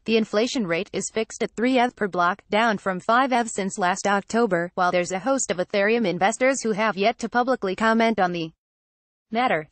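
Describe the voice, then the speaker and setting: A woman's voice: mid-range voice, dry recording. A woman reading a scripted news article aloud in a formal manner, as a narrator.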